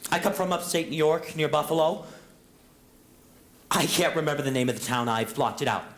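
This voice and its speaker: A man's voice: a little high, fairly quick, lightly acted. A man acting a wry, droll young dancer at a stage musical audition, in an echoey, faintly noisy recording.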